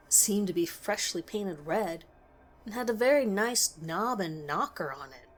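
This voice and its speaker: A woman reading a scripted scary story as narrator, in a measured, dramatic way with pauses. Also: medium pitch.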